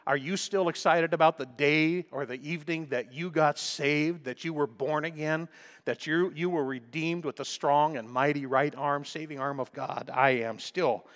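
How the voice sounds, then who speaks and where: A man preaching a sermon with emphatic delivery, often clearing his throat, his voice a little crackly. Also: fairly high pitch, fairly even intonation, normal speed, a bit bright. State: slightly crackly voice. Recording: long reverb of a large hall.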